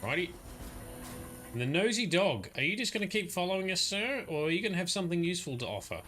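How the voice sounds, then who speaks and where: A man's voice: high voice, very animated, fast, pushed and bright. A man giving casual let's play commentary, chatty, swearing and laughing at the game. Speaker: streamer. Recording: game music behind voice. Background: game music.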